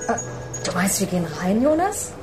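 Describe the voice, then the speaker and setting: A woman's voice: mid-range voice, very animated, moderate pace, reverberant, over music, natural voice. A woman playing a detective's hesitant, curious sidekick with a questioning manner in a German radio play. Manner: questioning, uneasy.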